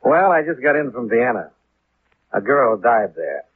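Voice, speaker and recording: Through the thin band of a 1950s radio broadcast comes a world-weary American investigator. He is clipped and deadpan, with a slightly rough edge to the voice. The delivery is hardboiled detective drama. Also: mid-range voice, unhurried, no echo. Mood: probing, accusing. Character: terse, world-weary male insurance investigator. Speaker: lead radio actor and narrator. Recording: muffled, narrow-band vintage 1950s radio.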